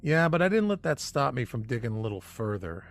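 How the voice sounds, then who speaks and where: A man's voice: mid-range voice, big pitch swings, brisk, soft background music. Inquisitive, wry man researcher. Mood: intrigued, wry. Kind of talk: audio drama acting.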